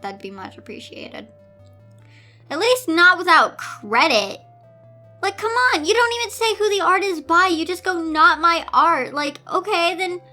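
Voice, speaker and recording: Woman, bright high voice, reads stories aloud with exasperated, sarcastic commentary; music underneath. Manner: theatrical, incredulous, sarcastic asides. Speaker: host reading fan fiction aloud with commentary.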